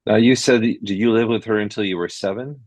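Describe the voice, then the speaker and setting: A man's voice: fairly low pitch, fairly even intonation, quick pace, darkish tone, dry recording. A man hosting a podcast interview, asking friendly, encouraging questions. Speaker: podcast host.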